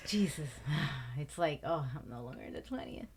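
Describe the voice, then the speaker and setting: A thirty-year-old woman from Puerto Rico, a guest in a casual interview, loud and talkative. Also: low-pitched, lively intonation, normal speed, slight background noise. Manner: loud, talkative, swears and laughs often.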